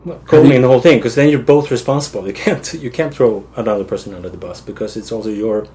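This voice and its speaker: A man's voice: medium pitch, fast talker, mellow. A man from Sweden, an art director guest, talking thoughtfully and agreeably in an interview. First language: Swedish. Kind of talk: casual interview answers.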